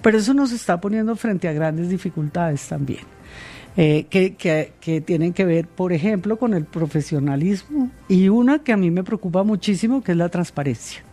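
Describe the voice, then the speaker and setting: A woman, answering interview questions in a measured way, with many pauses and fillers. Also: fairly low pitch, mellow, faint music behind. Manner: measured, hesitant with fillers and repeated words. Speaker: interviewed guest.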